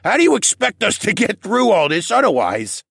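A man voicing a small, drunk old male koala elder with a loud, thundering voice. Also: sits high, wildly swooping pitch, moderate pace, vocal fry, husky, dry recording, clean studio sound, voice pushed up, heavily acted. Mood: boisterous.

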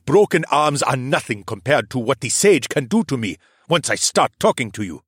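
A man's voice: medium pitch, very animated, moderate pace, fairly bright tone, husky, no echo, clean studio sound, voice pushed up. A man voicing a proud, defiant male cheetah demi-human captive who snarls and sneers.

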